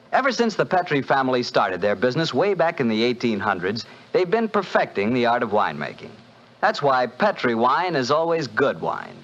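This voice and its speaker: A 1940s radio announcer man reading sponsor copy in a smooth, persuasive, drawn-out style. His pitch swings freely to sell each line. The old broadcast recording is muffled. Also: fairly bright tone.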